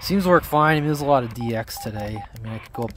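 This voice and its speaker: A man's voice: mid-range voice, animated, a bit bright. Man explaining casually, noisy outdoor recording. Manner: informal, rambling, halting. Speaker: hobby radio vlogger. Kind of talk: casual off-the-cuff how-to explanation. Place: outdoors among trees. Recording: outdoor handheld video with background noise.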